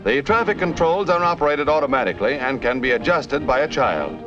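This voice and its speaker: A man's voice: medium pitch, expressive, a little fast. An American man narrating a 1950s newsreel in a jaunty, emphatic, punning announcer style. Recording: dull vintage newsreel soundtrack, loud music behind. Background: loud music.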